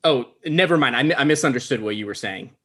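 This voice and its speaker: A man acts out an awkward late-night host in a comedy read. His voice is medium-pitched, with a mild, slightly pedantic and hesitant conversational delivery. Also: animated, fast, a bit bright.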